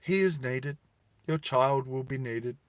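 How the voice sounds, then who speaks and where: A man voices a cold bureaucrat in a dystopian tabletop story. His delivery is slow and measured, softer than normal talk, with polite, formal menace. The sound is dry. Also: mid-range voice, clean tone, no room sound, close to an everyday voice. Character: cold, courteous, implacable male official of a dystopian regime.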